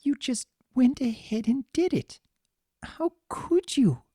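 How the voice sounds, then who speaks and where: A woman voicing a gentle, anxious young female cartoon pony, earnest, soft and fretful. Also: sits high, sing-song, slow pace, husky, no room sound, clean studio sound, pitched above the performer's own voice, heavily acted. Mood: hurt, pleading.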